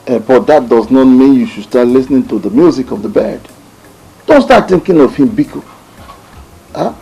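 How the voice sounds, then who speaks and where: A man playing a father who gently advises his daughter. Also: medium pitch, animated, normal speed, slightly rough, highs cut off. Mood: fatherly.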